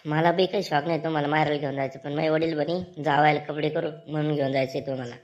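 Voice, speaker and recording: A woman's voice: low voice, fairly even intonation, fast talker, a little mellow, muffled recording, lightly acted. A woman playing a bossy, nagging village wife in a comedy cartoon, with a rural Maharashtrian accent. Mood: defensive, bossy. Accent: rural Maharashtrian Marathi. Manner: nagging, scolding. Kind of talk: comedy cartoon dialogue in colloquial Marathi.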